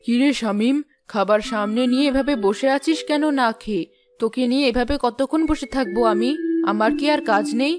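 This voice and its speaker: A woman acting a practical village mother from a cartoon, quick to scold and get on with things. Her voice is fairly high, with music clearly audible behind it. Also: close to an everyday voice. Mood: impatient, scolding. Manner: practical, no-nonsense. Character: busy, brisk, mildly scolding village mother.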